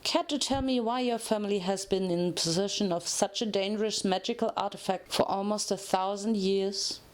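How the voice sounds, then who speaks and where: Formal male pony interrogator read by a woman, measured, cold and menacing, cartoon fanfiction. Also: medium pitch, natural voice. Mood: probing. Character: cold, formal grey stallion professor, interrogator. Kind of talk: dramatic fanfiction reading of a cartoon.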